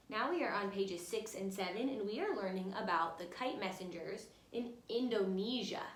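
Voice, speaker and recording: A woman's voice: mid-range voice, a bit bright. A woman teacher reading a children's picture book aloud, speaking expressively and instructively, directly to young listeners. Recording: roomy, echoey room sound.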